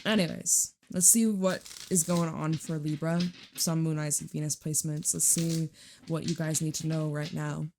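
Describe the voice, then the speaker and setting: A woman with a raspy, hoarse voice giving a chatty, reassuring tarot reading to her viewers and swearing now and then. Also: sits low, fairly even intonation, moderate pace, a little mellow, a little hiss or hum. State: hoarse from screaming. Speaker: tarot reader.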